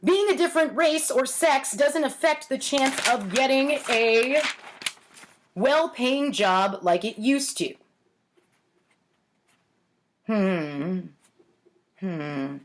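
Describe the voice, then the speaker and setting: A woman's voice: a little high, slow, noisy background. A woman around forty reading aloud at home with wry, theatrical delivery and casual self-mocking asides. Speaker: host reading her own childhood writing. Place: home kitchen, indoors. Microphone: webcam or laptop at arm's length.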